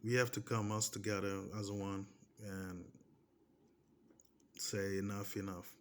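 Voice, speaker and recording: A man's voice: lowish voice, flat delivery, a little slow, slight background noise. Portuguese-accented man, emphatic and repetitive. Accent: Portuguese-accented English. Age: 30s. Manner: emphatic and repetitive, restarting phrases and pressing points with "okay?". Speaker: vlogger. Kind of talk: casual personal monologue to camera.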